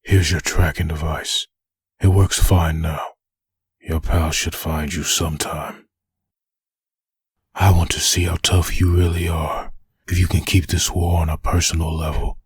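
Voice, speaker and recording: A deep, very husky undead soldier, acted by a man for a comic dub. He is grim and forceful, taunting his enemy with bitter menace. The sound is dry and close. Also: flat delivery, measured pace, no room sound, heavily acted. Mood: cold menace. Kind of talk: comic dub dramatic acting.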